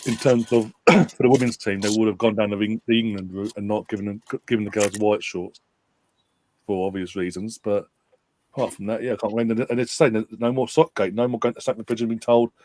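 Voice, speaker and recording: A man makes wry, joking remarks on a football fan show. His voice is low, with faint noise behind it. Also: flat delivery, fairly quick, a little mellow. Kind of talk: casual football fan discussion.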